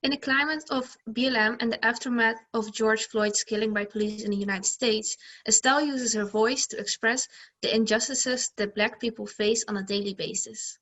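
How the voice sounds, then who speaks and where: A woman's voice: fairly high pitch, steady tone, moderate pace, fairly bright tone. A woman hosting a discussion show and presenting in a scripted style. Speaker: host.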